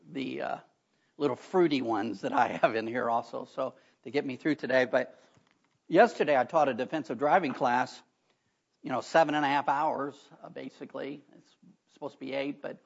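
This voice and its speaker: Hoarse, gravelly preacher man. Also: mid-range voice. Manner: folksy, chatty asides. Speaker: pastor. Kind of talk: sermon. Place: church sanctuary. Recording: dull lo-fi recording.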